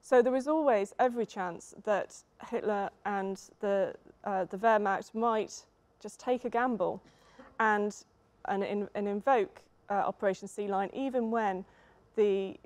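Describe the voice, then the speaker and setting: A woman's voice: medium pitch, measured pace, darkish tone. British woman explaining on a panel. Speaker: panellist, history expert. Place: theatre stage, seated panel.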